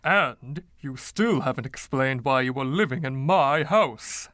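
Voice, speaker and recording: A man's voice: mid-range voice, expressive, measured pace, fairly bright tone, dry recording, clean studio sound. A man voicing the haughty, indignant ghost of a rich 1920s dandy, pompous and fussy, with old-fashioned slang, in a story reading. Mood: imperious.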